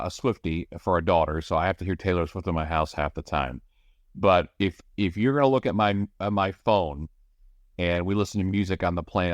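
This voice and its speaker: A man's voice: sits low, no room sound. A middle-aged man, a radio play-by-play broadcaster, giving chatty, rambling interview answers with many restarts. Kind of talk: casual podcast interview answers.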